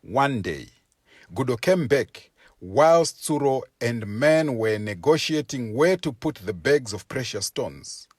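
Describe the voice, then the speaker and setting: A man reading a folktale aloud as a storyteller, with expressive, deliberate and dramatic phrasing for young listeners. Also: medium pitch, slightly rough, no room sound, studio quality. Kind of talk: expressive scripted story narration for children.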